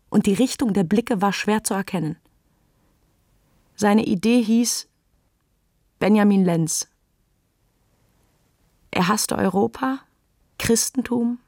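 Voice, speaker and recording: A woman narrating literary prose for a radio play, deliberate and emphatic. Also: mid-range voice, creaky, darkish tone, dry recording, clean studio sound.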